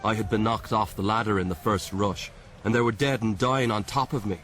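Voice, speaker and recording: A man's voice: fairly low pitch, steady tone, fairly quick, fairly bright tone, close to an everyday voice. An actor playing a plain-spoken, shaken army corporal, telling his war story earnestly and emphatically in a radio drama. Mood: urgent, shaken. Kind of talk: dramatic radio monologue, memoir testimony. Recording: noisy radio drama recording.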